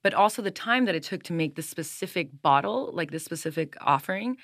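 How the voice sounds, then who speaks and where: A woman who runs a mezcal brand, answering interview questions in a measured, explanatory way with small restarts. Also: lowish voice, animated, fairly bright tone, dry recording, studio quality.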